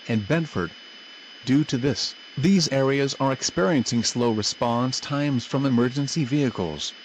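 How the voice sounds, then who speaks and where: Man reading emergency alerts, noisy recording. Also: mid-range voice. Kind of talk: scripted emergency broadcast announcement.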